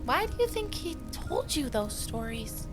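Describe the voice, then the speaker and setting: A small, anxious creature child with an extremely high voice. The little one is kind and earnest but hesitant, in a family audio drama with music underneath. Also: female voice, sing-song, unhurried. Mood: gentle, earnest. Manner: stammering, hesitant.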